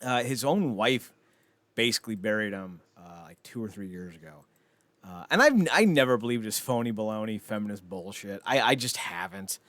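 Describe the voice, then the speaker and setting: A man's voice: fairly low pitch, animated, normal speed, fairly bright tone, no echo. A man chatting casually as a podcast host, rambling, opinionated and freely profane. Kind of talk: casual podcast chat about films and entertainment news.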